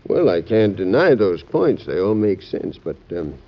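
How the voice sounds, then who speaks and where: A cautious, loyal presidential adviser. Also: male voice, fairly low pitch, big pitch swings, a little slow, full character voice. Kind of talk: 1940s American radio drama acting. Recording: dull lo-fi old radio recording.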